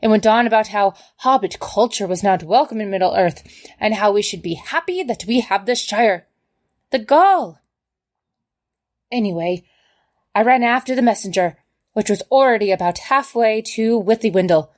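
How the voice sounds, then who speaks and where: A woman's voice: medium pitch, expressive, normal speed, close to an everyday voice. A woman playing a fussy, outspoken hobbit who huffily tells her tale in a letter, in an audio drama. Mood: indignant, scandalized. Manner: huffy, prim, chatty storytelling.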